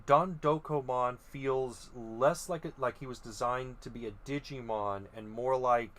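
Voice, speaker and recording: A man's voice: medium pitch, measured pace, a bit bright. A young man giving casual opinion commentary as a video host. He muses hesitantly, with frequent ums and restarts. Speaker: video host reviewing creature designs.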